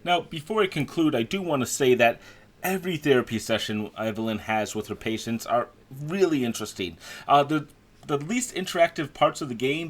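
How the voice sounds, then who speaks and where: Male game reviewer, mid-range voice, delivering opinionated review commentary in a conversational way with stressed key words. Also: medium pitch.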